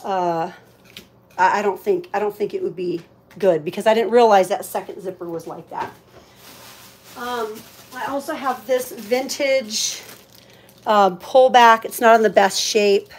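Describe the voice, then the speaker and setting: A woman's voice: medium pitch, measured pace. A woman hosting a live auction in casual, chatty talk, thinking aloud as she handles items. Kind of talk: casual livestream sales chat.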